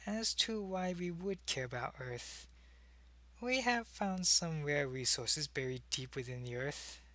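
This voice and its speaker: A man's voice: a little high, expressive, unhurried, bright, forceful tone, dry recording. A man playing an earnest, secretive alien agent in an ASMR roleplay, talking to a human in a hesitant, explanatory way. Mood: hesitant, confessing. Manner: hesitant, explanatory, intimate one-sided conversation. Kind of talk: ASMR roleplay, acted monologue to the listener.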